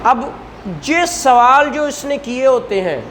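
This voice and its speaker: Slow-paced Pakistani law lecturer, noisy recording. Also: high voice, animated, fairly bright tone. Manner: didactic, repeats points and asks rhetorical questions. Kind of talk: explanatory law lesson, conversational.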